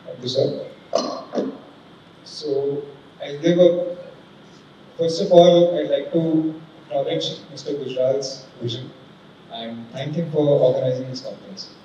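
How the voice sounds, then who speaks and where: A man's voice: medium pitch, steady tone, measured pace, pauses often, mellow. A man in his twenties, a guest speaker, giving a formal, earnest motivational talk. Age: 20s. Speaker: guest speaker, civil judge. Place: large conference hall. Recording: echoey hall, distant and dull sound, faint noise.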